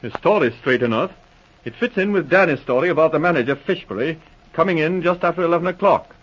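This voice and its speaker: An actor playing an eager, frank police officer with a brisk manner, in a 1940s radio drama. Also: male voice, on the high side, expressive, slightly rough. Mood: frank, businesslike. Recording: muffled vintage radio recording.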